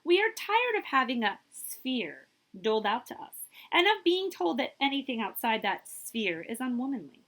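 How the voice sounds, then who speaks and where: Female read-aloud storyteller, librarian type. Higher, slightly husky voice, emphatic phrasing, drawn-out key words. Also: big pitch swings, fairly bright tone. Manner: emphatic, drawing out key words for young listeners. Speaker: librarian reading aloud to children. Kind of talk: expressive picture-book read-aloud with brief asides.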